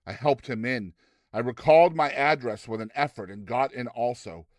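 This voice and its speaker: A man reading a classic story aloud as a theatrical, expressive narrator with deliberate delivery. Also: mid-range voice, dry recording, studio quality. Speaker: narrator, reader.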